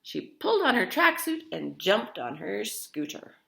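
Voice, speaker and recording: A woman's voice: medium pitch, big pitch swings, a little slow, gravelly, sharp tone. A woman reading a children's story aloud in a theatrical, emphatic way, with chatty asides to the listener. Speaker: storybook reader.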